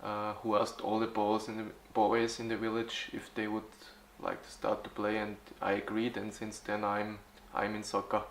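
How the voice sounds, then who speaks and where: A man in his forties from Austria, answering interview questions haltingly, with many fillers and restarts. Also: on the low side, monotone, reverberant, slight background noise. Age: 40s. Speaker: youth football club organizer.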